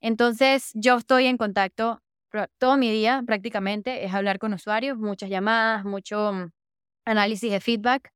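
A woman's voice: medium pitch, fairly even intonation, moderate pace, clean tone, dry recording, studio quality. A young woman from Venezuela, a podcast guest chatting casually and enthusiastically about her work, often stacking words like "really" and "super.". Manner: enthusiastic, repeats "de verdad que" and "súper". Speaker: podcast guest, user researcher. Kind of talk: casual interview chat.

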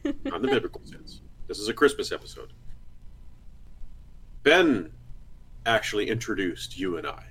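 Husky, lively joking man. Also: on the low side, moderate pace, long pauses, low-quality recording. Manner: joking, playful. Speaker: podcast co-host. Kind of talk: casual chat. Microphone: remote voice chat.

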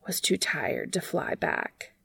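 Soft, slow, devoted male bee acted by a woman. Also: no echo, clean studio sound. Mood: tired, pleading. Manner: soft, tender, deliberate. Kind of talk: storybook character reading.

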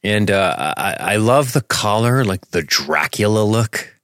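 Male podcast co-host, forties, low voice, dry close recording, sarcastic and sweary film banter. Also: animated, a little slow, fairly bright tone, dry recording. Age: 40s. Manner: sarcastic, joking, swears freely. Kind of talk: casual profane banter about a film.

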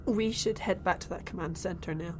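An actress playing Claire, a curious young woman abducted onto an alien ship, calm and reassuring to others. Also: lowish voice, moderate pace, lightly acted. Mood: calm, steadying. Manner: reassuring, then eagerly curious. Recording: faint music score underneath.

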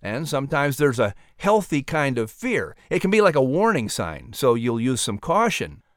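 A man narrating and announcing in a lively scripted storytelling style for children. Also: medium pitch, moderate pace, dry recording, clean studio sound.

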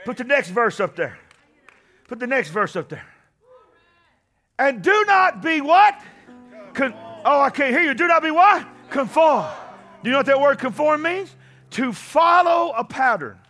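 A man preaches, his voice pitched very high and ringing bright. He moves from conversational teaching into fervent, shouted climaxes, calling out to his congregation. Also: sing-song, unhurried, pauses often, faint music behind. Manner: impassioned preaching, shouting at peaks. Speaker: pastor. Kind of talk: sermon.

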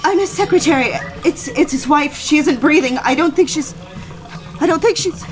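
Woman acting high-voiced, frantic young secretary. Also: moderate pace, faint music behind, lightly acted, poor audio. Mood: distraught. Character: young secretary Andrea Davies, frantic, devoted, pleading. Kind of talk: audio drama acting.

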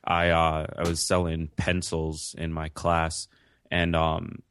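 A man's voice: extremely low pitch, completely flat delivery, takes it slowly, a bit bright, a little hiss or hum. A young man, born in Jamaica, answering interview questions in an earnest, reflective way with frequent restarts. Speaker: interview guest. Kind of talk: conversational interview.

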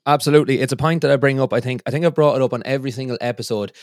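A young man hosts his music podcast in an easy, chatty way, often restarting his sentences. His voice sits at a middle pitch and is recorded close and dry, with no room sound. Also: steady tone, racing, darkish tone, no room sound. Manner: friendly, chatty with many restarts. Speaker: podcast host. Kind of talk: casual podcast chat.

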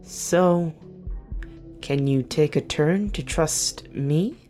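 An actor playing a weary, guarded veteran team leader in an audio drama, speaking earnestly and haltingly with dry wit. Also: medium pitch, warm tone, soft background music. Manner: earnest, halting, self-correcting, dryly wry. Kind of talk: naturalistic audio drama dialogue.

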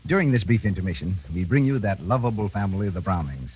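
A male announcer from vintage radio. His voice is strongly husky and he narrates from a script in 1930s style. The recording is dull and aged. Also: low-pitched, animated, quick pace.

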